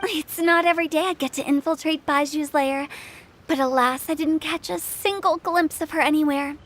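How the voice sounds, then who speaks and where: High-voiced, playful young funeral director. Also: female voice, steady tone, normal speed, fairly bright tone. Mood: teasing, playful. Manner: teasing, theatrical, wordy storytelling. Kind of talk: video game character dialogue. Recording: game music behind.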